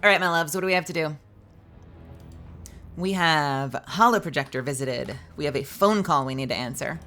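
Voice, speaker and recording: A woman's voice: deep voice, normal speed, pushed and bright, faint music behind. A young woman streaming games live, chatting playfully with her chat in a slightly scratchy voice.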